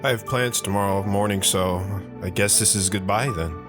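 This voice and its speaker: A man's voice: on the low side, normal speed, music in the background, natural voice. A man playing an awkward teen boy. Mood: unsure. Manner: apologetic, unsure. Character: awkward teenage boy, apologetic.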